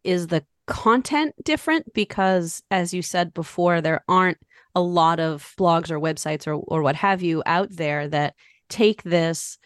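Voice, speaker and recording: A woman's voice: on the low side, measured pace, no echo, studio quality. A woman co-hosting a wine podcast in a friendly, chatty way. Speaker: podcast co-host. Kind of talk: casual interview hosting.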